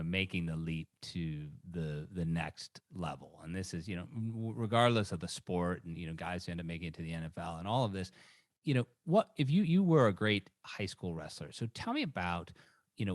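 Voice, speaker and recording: A man's voice: low-pitched, no echo, studio quality. A middle-aged man hosting an interview in a conversational way, circling into his questions with restarts. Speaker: interview host, journalist.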